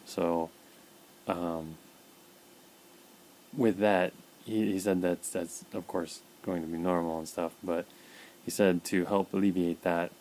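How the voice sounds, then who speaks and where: A man talking casually in a personal video diary, halting with fillers and repeated words, his mouth dry. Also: deep voice, steady tone, darkish tone, a little hiss or hum, rough recording. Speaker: vlogger documenting his illness.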